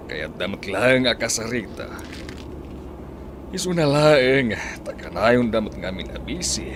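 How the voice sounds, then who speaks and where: An actor playing an upright, weary judge in a radio drama, earnest and reflective, with an Ilocano accent. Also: male voice, medium pitch, background noise.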